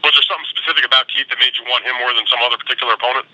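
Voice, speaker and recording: A man's voice: mid-range voice, steady tone, racing, pushed and bright, lo-fi sound. A man working as a sports reporter asks wordy, roundabout questions in a conversational way over a telephone conference line. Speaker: boxing reporter asking questions. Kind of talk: press conference call questioning.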